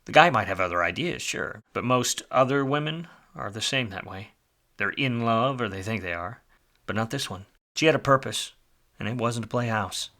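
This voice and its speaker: A man's voice: mid-range voice, expressive, moderate pace, pushed and bright. An actor playing a world-weary, wisecracking private detective in a film noir radio play, with a wry, slangy tough-guy delivery. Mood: brooding, wry. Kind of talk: film noir radio play, first-person narration and dialogue.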